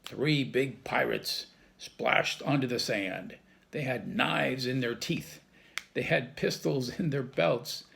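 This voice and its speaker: A man reading a children's chapter book aloud in a lively storytelling manner. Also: mid-range voice, takes it slowly, creaky. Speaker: staff reader.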